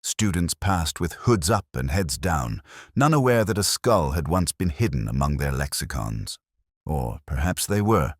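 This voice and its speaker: Brooding detective narrator male actor for audio mystery: bottomless bass, solemn and measured, expressive melody, dry studio sound. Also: no echo, full character voice. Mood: brooding, wistful. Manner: solemn, reflective, measured short phrases. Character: melancholy, brooding male English detective inspector narrating his case. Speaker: first-person narrator.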